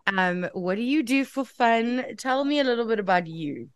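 Woman interviewer, bubbly, stacks questions, higher voice, dry close sound. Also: expressive, moderate pace, smooth, dry recording. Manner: bubbly, fires off several questions at once. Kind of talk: casual interview.

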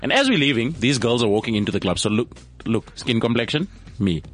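A man's voice: mid-range voice, lively intonation, a little fast, faint music behind. A young man from South Africa telling a rambling comic anecdote on a podcast, often repeating words as he goes. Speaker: comedian guest. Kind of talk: casual storytelling.

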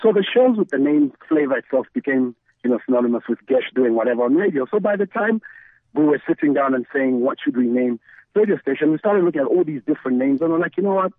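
A man's voice: a little high, lively intonation, quick pace, no room sound. A middle-aged man from Zambia, telling his story conversationally as an interview guest over a remote call. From Zambia, the Copperbelt. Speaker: guest, radio DJ and station founder. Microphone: remote call connection. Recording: thin phone-like line.

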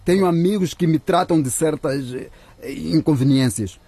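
Radio drama heavy: stern, menacing businessman, slightly high-set husky voice, dry studio sound. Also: mellow, no echo. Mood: stern menace. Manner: stern, threatening, deliberate. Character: menacing businessman, cold, impatient, paying off a blackmailer.

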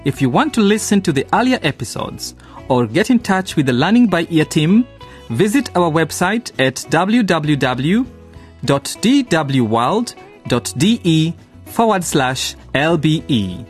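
A man's voice: on the high side, big pitch swings, a little slow. A man reading a scripted radio programme sign-off as the announcer. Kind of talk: scripted radio outro narration. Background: guitar music.

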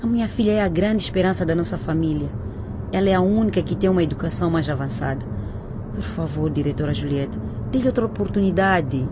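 A woman's voice: on the low side, fast, natural voice. A woman playing a humble, anxious mother in a radio drama, pleading hesitantly and with deference for her daughter. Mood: tearful pleading. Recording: clear ambient vehicle noise behind.

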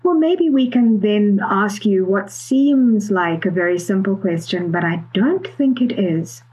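A woman's voice: mid-range voice, expressive, unhurried, a little mellow, slightly rough, low-quality recording. A woman hosting a conversational podcast interview in a chatty, appreciative manner.

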